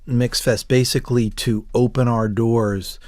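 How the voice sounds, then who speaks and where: A man's voice: medium pitch, fairly even intonation, a little slow, a little mellow, dry recording, studio quality. A man explaining casually as a producer and co-host on an interview show.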